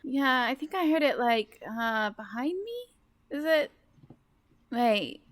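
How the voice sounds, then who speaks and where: A woman's voice: fairly high pitch, lively intonation, takes it slowly. A woman bantering casually and playfully as a player in a tabletop roleplay game.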